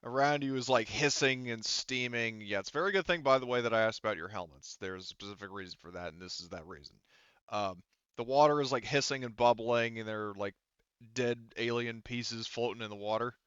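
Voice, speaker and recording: A man's voice: medium pitch, fairly quick, a bit bright, clean tone, dry recording. A man casually explaining rules and narrating as the game master of a tabletop roleplaying game. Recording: dull lo-fi microphone.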